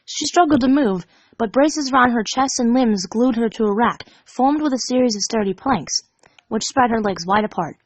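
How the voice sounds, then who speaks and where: A woman reading fan fiction aloud as an expressive storybook narrator, animated and dramatic in her delivery. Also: on the high side, normal speed, no room sound.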